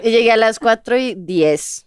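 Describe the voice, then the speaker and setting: A woman from Colombia chatting casually as a guest, talking in a lively, emotional way and laughing often. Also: a little high, normal speed. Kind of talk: casual chat.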